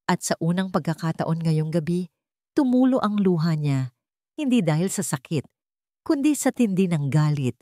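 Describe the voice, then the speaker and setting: Lowish-voiced woman narrating a dramatic story. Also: expressive, moderate pace, warm tone, dry recording, studio quality. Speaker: audiobook narrator.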